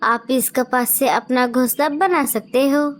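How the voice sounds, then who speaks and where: A woman's voice: high voice, steady tone, a little slow, a little hiss or hum. A woman voicing kind female birds in a cartoon story, speaking sweetly and eager to help. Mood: helpful.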